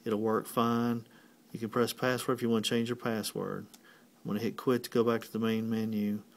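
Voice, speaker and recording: A man's voice: fairly low pitch, level tone, normal speed. A man giving a step-by-step tutorial as a technician, explaining plainly and methodically. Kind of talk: instructional step-by-step walkthrough.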